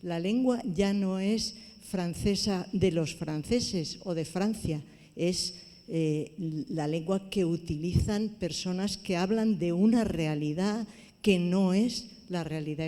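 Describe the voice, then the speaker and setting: Mujer, ritmo muy lento, tono mesurado y deliberado; club de lectura en sala reverberante. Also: female voice, lowish voice, warm tone. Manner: measured, deliberate, hesitant fillers. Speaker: book club member. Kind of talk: book club discussion.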